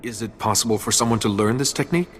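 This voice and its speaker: Quick, earnest young-hero type played by a man in reverb. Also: fairly low pitch, slight background noise, close to an everyday voice. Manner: plain, sincere, formal. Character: earnest, determined male Jedi apprentice. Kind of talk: video game voice acting.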